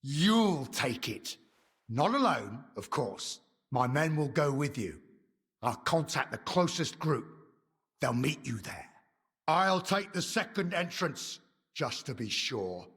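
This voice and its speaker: An actor playing a calculating militia leader in a video game, with a deliberate, theatrical and commanding manner. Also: male voice, a little high, very animated, a bit bright, slightly rough, full character voice. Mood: confident, scheming. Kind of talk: dramatic video game dialogue.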